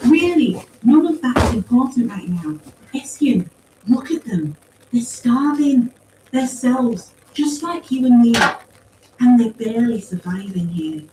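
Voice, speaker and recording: An earnest woman soldier as a female narrator acts her argues with high, swooping emphasis. She is insistent and indignant, a story heroine heard in an echoey room. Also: lively intonation, a little slow. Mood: urgent, pleading. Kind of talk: acted audiobook dialogue. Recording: roomy, distant pickup with faint noise.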